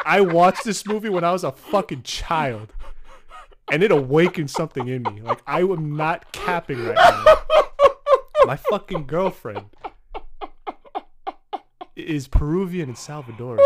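A man co-hosting a casual comedy podcast, teasing his partner with profane, rapid comebacks. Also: a little high, noisy background. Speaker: podcast co-host.

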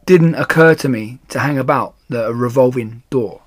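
A man's voice: medium pitch, moderate pace, lightly acted. A man voicing a weary, earnest bank security guard in a children's storybook. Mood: resigned.

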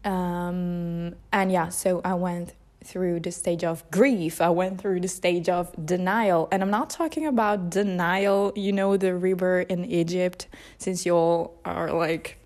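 A woman's voice: mid-range voice, a little mellow. A young woman, hosting a casual unscripted podcast from her couch, chatty and self-deprecating, recorded without a proper mic. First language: Italian. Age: early 20s. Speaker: podcast host. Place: at home on a couch.

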